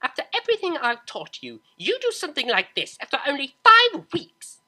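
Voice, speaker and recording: Woman playing an overbearing older mare, sharp and forceful. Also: high voice, huge pitch swings, moderate pace, pitched above the performer's own voice, heavily acted. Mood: angry. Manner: scornful, stern, condescending. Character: domineering, disapproving older pony mare, overbearing mother.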